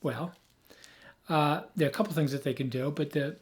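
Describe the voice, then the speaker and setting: A man who has lost his voice, hoarse, giving an emphatic, rambling off-the-cuff tech explanation as a video host. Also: a little high, normal speed. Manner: emphatic, rambling, with frequent restarts.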